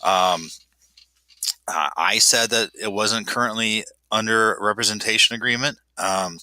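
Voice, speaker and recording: A man's voice: on the low side, measured pace, pushed and bright, no room sound. A man with a nasal voice, a property manager talking a client through a screen walkthrough in a casual, self-deprecating way, with many fillers and restarts.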